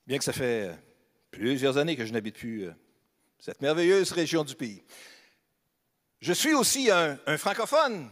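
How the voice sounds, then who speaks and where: Québécois male pastor, high voice, chatty joking sermon, long church reverb. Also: very animated, measured pace, pauses often, a bit bright. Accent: Québécois French. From Quebec, Beauce region. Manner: conversational, humorous, asks the congregation rhetorical questions. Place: church hall.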